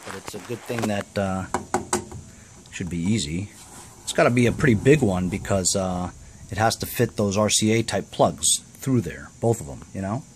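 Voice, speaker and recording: A man hosting a repair vlog, talking casually through hands-on work in a halting, thinking-aloud way with frequent "you know" tags. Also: on the low side, expressive, noisy background. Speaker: host of a DIY repair vlog. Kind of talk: casual hands-on tutorial narration.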